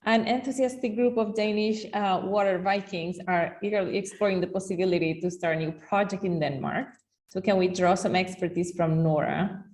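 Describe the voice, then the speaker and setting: A woman's voice: mid-range voice, steady tone, brisk, a little mellow. Woman moderating a webinar.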